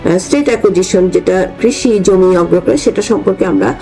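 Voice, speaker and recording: An older woman, a host and retired judge informally explaining law to an audience, often pausing to search for words. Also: lowish voice, dark tone, faint music behind, low-quality recording. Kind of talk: informal legal discussion for an audience.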